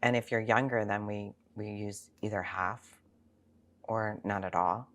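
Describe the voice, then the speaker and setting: A woman's voice: rumbling low, fairly even intonation, a little slow. A sixty-year-old woman speaking as a financial planner in an interview, explaining things informally with examples and rhetorical questions. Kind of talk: conversational interview answers.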